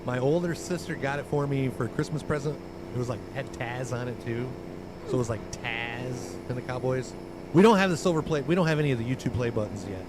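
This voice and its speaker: A man's voice: medium pitch, a little fast, background noise. A man hosting a live stream while driving in a truck game, chatting casually with blunt talk and lots of fillers. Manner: rambling, filler-heavy, blunt.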